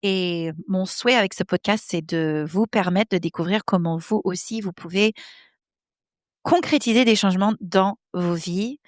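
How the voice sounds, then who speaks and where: A woman's voice: medium pitch, normal speed, no echo, clean studio sound. A woman in her thirties from the American Midwest, speaking French with an American accent. She hosts her podcast from her home office in a personal, hesitant monologue, with many fillers as she searches for words. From United States, Midwest. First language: English. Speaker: podcast host.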